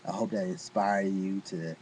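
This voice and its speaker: A man reading an inspirational first-person story aloud as a storyteller, with earnest, deliberate phrasing and dramatic pauses. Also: low-pitched, flat delivery, darkish tone, slight background noise, low-quality recording.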